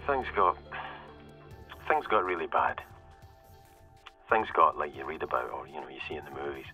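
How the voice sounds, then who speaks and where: A man playing a weary, cynical security corporal in a video game, recording a halting, rueful audio diary as a survivor of a flooded world. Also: a bass voice, lively intonation, bright, forceful tone, soft background music, heavily acted. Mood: grim, defensive. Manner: halting, rueful, confiding, with pauses and self-corrections. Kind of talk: in-character audio diary monologue, dramatic game acting.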